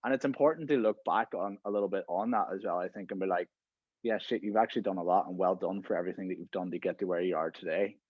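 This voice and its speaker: A man in his thirties talks through a podcast conversation in a relaxed, rambling way, tossing in "right?" and "you know" as he goes. His voice sits on the low side. The recording is dry and somewhat muffled. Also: fast talker, breathy edge, no echo. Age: 30s. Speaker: podcast host. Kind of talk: casual podcast chat.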